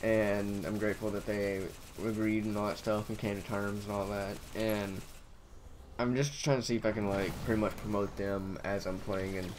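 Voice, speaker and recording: Young male gamer host, lowish monotone voice, rambling casual chat, faint hiss behind. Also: moderate pace. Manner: rambling, heavy on fillers. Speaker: gaming channel host. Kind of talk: casual gameplay commentary.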